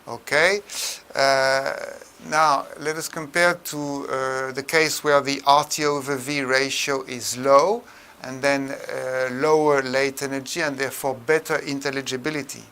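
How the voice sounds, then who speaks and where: An older man giving a tutorial lecture in a measured, halting way with frequent pauses. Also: mid-range voice, flat delivery, pushed and bright, clean tone. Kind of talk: tutorial lecture on room acoustics.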